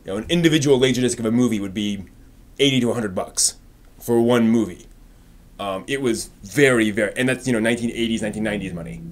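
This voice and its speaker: A man's voice: lowish voice, fast. Man casually rambling on a live stream. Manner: informal, rambling, thinking aloud.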